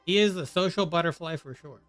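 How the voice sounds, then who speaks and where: A man's voice: on the high side, fairly quick, faint music behind. A man streaming a game with casual, wry, joking commentary. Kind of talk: casual Let's Play commentary.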